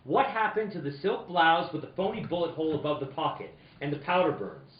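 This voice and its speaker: Male private eye played by an actor, wry and suspicious, halting delivery, radio detective play, roomy dull recording. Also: fairly high pitch, animated, natural voice. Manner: stammering, halting. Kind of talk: live reading of old-time radio detective drama.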